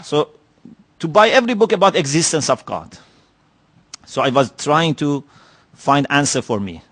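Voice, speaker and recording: Man w/ giving a lecture, slightly high voice, slow and thoughtful, lots of "you know". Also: animated, a bit bright. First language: Persian. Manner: measured, reflective, with many pauses and "you know" fillers. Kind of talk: religious lecture. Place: lecture hall.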